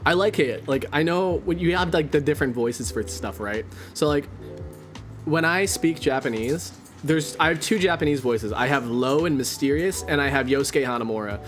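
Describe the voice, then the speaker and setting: A man's voice: a little high, normal speed, faint music behind. A man from Canada with a General American accent, chatting casually on a stream and rambling with lots of "like". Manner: rambling, heavy use of "like".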